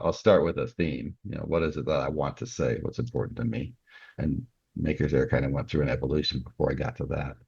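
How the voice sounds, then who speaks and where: An older man with an extremely low voice that has a husky edge. He speaks as an interview guest, explaining things in a conversational way. The audio is somewhat dull and lo-fi. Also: darkish tone. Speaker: podcast guest, novelist. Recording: dull, band-limited audio.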